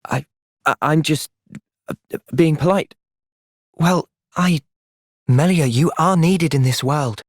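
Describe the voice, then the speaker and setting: A man's voice: a little high, slow pace, pauses often, dry recording, studio quality. A young man with a British accent playing an earnest, thoughtful, polite and determined young hero in video game cutscenes. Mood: hesitant.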